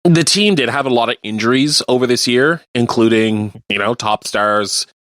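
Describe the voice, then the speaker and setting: A man co-hosting a radio show, chatting casually about sports and explaining things in a halting way. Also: mid-range voice, a bit bright, dry recording, studio quality. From Canada. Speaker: radio co-host.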